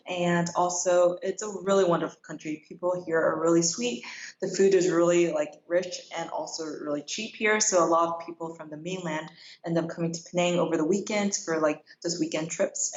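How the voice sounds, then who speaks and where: Young woman chatting in a roomy, dull recording. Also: on the low side. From South Korea, raised in Kenya. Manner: chatty, peppers speech with "like". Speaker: interview guest, middle school teacher. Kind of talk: casual interview.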